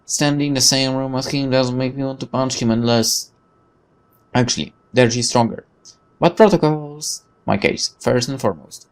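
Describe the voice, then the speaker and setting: A man reading a visual novel's narration aloud in a wry, measured storytelling way. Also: mid-range voice. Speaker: gaming video narrator.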